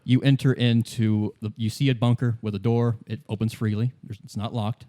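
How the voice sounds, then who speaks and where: A man narrating a tabletop game in the second person as game master, improvising in a halting way and repeating phrases. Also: medium pitch, level tone, dark tone.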